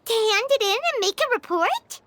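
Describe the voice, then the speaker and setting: Squeaky, excitable little fairy girl. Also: moderate pace, dry recording. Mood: excited, curious. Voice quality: squeaky, childlike. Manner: cheeky, indignant, exclamatory. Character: tiny excitable childlike floating fairy girl companion. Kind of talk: animated video game acting. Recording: music behind the voice.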